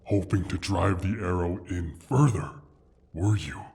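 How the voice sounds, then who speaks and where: A mighty wounded dragon with a low, very raspy, breathy voice. He is prideful and disdainful, speaking in slow, halting, theatrical phrases with open menace, as in a fantasy audio roleplay. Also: a little mellow, heavily acted. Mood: suspicious, indignant. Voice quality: husky, breathy rasp. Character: proud, injured male dragon, menacing and haughty.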